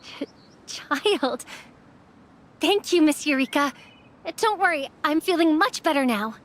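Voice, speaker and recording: Earnest, high-voiced young apprentice. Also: female voice, expressive, a little slow. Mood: polite. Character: young immortal apprentice mortician, earnest, subdued. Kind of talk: anime game voice acting.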